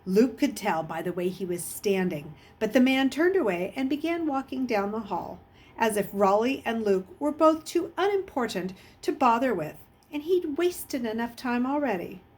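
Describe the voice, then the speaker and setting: A woman reading a children's novel aloud as an expressive storyteller, with a husky voice. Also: a little high, moderate pace. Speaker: audiobook reader.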